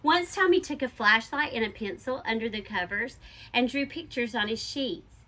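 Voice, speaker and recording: A woman reading a children's picture book aloud like a schoolteacher, expressively and with deliberate, emphatic stresses. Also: fairly high pitch, animated, pushed and bright.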